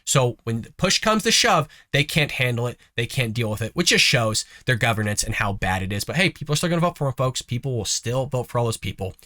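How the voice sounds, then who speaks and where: A man's voice: mid-range voice, sing-song, quick pace, hardly any pauses, sharp tone. A man hosting a political commentary show, talking casually with folksy, sarcastic asides while congested from a cough. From United States. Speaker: host of a conservative news show.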